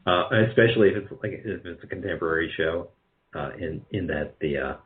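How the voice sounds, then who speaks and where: A man being interviewed as a radio drama producer, speaking casually and haltingly, often chuckling. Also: deep voice. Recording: dull, band-limited radio call audio.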